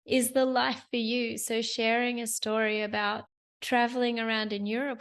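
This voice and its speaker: A young woman hosts a casual solo talk with a hoarse, worn-out voice. Her pitch sits a little high but barely moves. She talks in a chatty way, repeating phrases and addressing listeners as "you guys.". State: hoarse, lost voice. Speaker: host. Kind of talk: solo business talk to camera.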